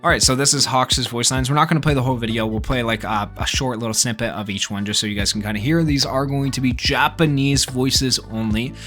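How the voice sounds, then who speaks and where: A man's voice: mid-range voice, steady tone, quick pace, few pauses, fairly bright tone. A man giving casual gaming news commentary as a YouTuber, talking in an informal, speculative, rambling way. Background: background music.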